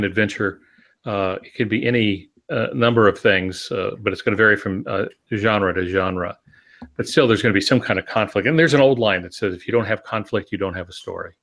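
A man in his sixties with a slightly low voice explains his points in a discussion. He is measured and instructive, speaking from a home office over a video call. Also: fairly bright tone. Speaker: podcast co-host, writing mentor.

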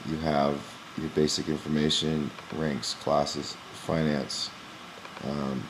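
A young man who runs a gym, walking through a software screen recording in a casual, explanatory way with frequent "um" pauses, recorded on a webcam at his desk. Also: extremely low pitch, flat delivery, noisy background. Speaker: gym owner demonstrating software. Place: small indoor room at a computer. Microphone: webcam in front of the face.

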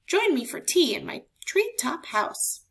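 A woman's voice: very high voice, big pitch swings, measured pace, vocal fry, fairly bright tone, pitched above the performer's own voice, exaggerated voice. A woman voicing a sly storybook owl, hooting and exclaiming. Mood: inviting. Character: sly owl, inviting then frightened and hooting.

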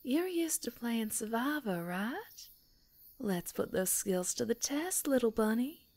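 A woman voicing a predatory, teasing female werewolf in an ASMR roleplay, speaking in a slow, menacing, breathy half-whisper. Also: fairly high pitch, lively intonation, darkish tone, dry recording. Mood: taunting.

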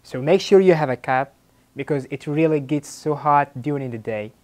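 A man's voice: on the high side, moderate pace, a little mellow. Earnest young Moroccan-accented man hosting a travel vlog, speaking straight to camera. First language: Arabic. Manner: earnest, repeats words while searching for them. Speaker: travel series host. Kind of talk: presenting to camera.

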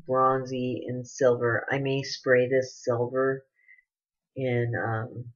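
A woman in her forties chatting casually in her living room, gushing over products and rambling between them. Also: a bass voice. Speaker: beauty vlogger. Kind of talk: casual product haul chat. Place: living room at home, indoors. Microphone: webcam at desk distance. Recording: dull lo-fi webcam audio with noise.

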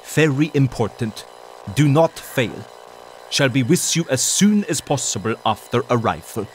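Audio drama role: actor as commanding male doctor dictating telegram, mid pitch, clipped, urgent, clearly noisy radio-like backdrop. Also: animated, a little slow, close to an everyday voice. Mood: urgent, stern.

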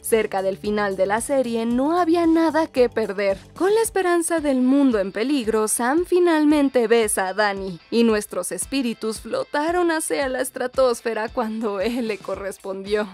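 A woman's voice: fairly high pitch, animated, normal speed, hardly any pauses, darkish tone. Latin American woman narrating over music. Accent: Latin American Spanish. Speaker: countdown narrator. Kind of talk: scripted video narration. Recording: music behind the voice. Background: background music.

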